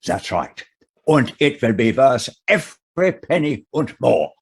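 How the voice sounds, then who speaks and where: A bitter old German farmer in a Western radio play. His husky voice swings wildly from low muttering to high outbursts, halting and aggrieved. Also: big pitch swings, heavily acted. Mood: bitter, gloating. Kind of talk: Western radio drama acting.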